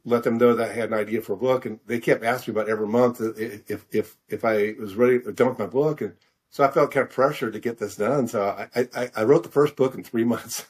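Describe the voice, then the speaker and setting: A middle-aged man tells his story in a casual interview. His delivery is halting and self-deprecating, with words repeated while he thinks. The pitch sits in an ordinary male range. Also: fairly even intonation, a little mellow.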